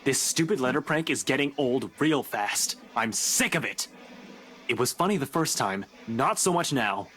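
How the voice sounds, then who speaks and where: A man's voice: medium pitch, lively intonation, moderate pace, a bit bright, a little hiss or hum, close to an everyday voice. A man voicing a curt, exasperated police officer. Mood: irritated. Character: brusque young male police officer. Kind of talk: video game voice acting.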